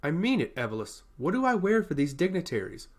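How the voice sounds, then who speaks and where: Man voicing a fretful, animated island warrior. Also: fairly high pitch, fairly quick, voice pushed up.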